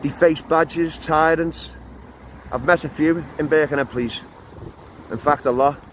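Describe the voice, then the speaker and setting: A man's voice: fairly high pitch, measured pace, a bit bright, a little hiss or hum. Combative Scouse man filming police outdoors. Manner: combative, repeats himself, accusing. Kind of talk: heated street confrontation.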